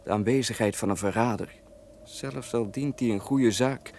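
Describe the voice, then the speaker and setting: An earnest, proud officer as a male actor plays him in a radio drama. His mid-pitched voice is formal and courteous, with careful stage declamation. A faint noise lies over the old recording. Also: normal speed, darkish tone, natural voice. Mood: disdainful. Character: earnest, guarded young officer, proud and honourable. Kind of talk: Dutch radio drama acting.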